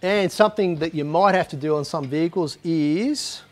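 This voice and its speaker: A man's voice: on the high side, moderate pace, faint music behind. A man in his fifties with an Australian accent, presenting a casual how-to in a laid-back, matter-of-fact, colloquial way. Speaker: presenter of DIY car videos. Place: garage shed and inside a parked vehicle.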